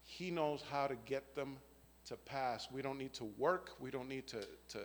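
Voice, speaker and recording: A man's voice: medium pitch, moderate pace, long reverb tail. Earnest New man in his fifties. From the Bronx, New York. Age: 50s. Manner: earnest, keeps checking in with "right?" and "okay?". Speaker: churchgoer giving a testimony. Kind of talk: spoken faith testimony.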